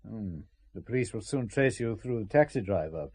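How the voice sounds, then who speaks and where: An actor playing a cool, sceptical man who probes with measured questions in an audio spy drama. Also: on the low side, animated, darkish tone. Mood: probing, sceptical.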